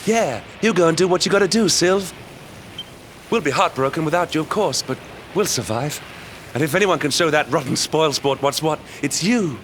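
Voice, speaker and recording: Loyal, plain-spoken parade performer, highish voice. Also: male voice, expressive, normal speed, a bit bright, a little hiss or hum. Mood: supportive, brave. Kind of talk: video game cutscene acting.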